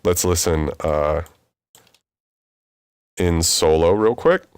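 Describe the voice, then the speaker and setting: A man in his thirties with a deep voice, casually explaining vocal mixing in a home studio tutorial. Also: takes it slowly, clean tone, no room sound. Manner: relaxed, conversational explaining with fillers. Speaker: music producer teaching mixing. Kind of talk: screen-recorded tutorial.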